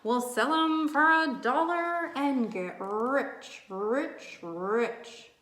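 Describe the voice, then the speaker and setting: Mean growly hunter for a kids' storybook woman performing, slow menacing delivery, roomy sound. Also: high voice, a bit bright, voice pushed up, exaggerated voice. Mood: menacing chant. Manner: chanting, menacing. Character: mean, rough, tough, angry penguin hunters. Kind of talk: children's storybook read-aloud.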